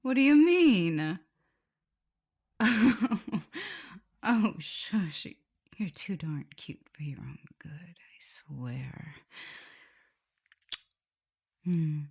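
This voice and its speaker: Woman acting a low, husky, tender vampire mistress. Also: animated, heavily acted. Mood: teasing, affectionate. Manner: soft, unhurried, tender, gently teasing. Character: affectionate, teasing female vampire mistress and professor, doting lover. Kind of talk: intimate ASMR roleplay addressed to the listener.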